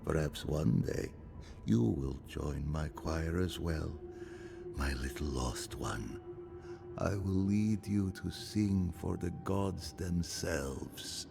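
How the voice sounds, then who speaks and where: A man voicing a menacing, mad cult leader in a video game, preaching slowly and theatrically in a very gravelly voice. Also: very deep voice, over music, full character voice. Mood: grandiose zeal. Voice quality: very gravelly, creaky. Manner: slow, theatrical, sermon-like. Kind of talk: video game cutscene acting.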